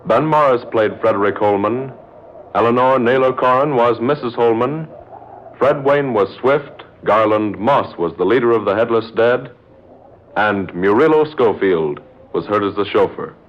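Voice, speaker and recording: A man's voice: medium pitch, normal speed. American guy doing 1940s radio announcer voice, formal n dramatic, dull noisy old broadcast.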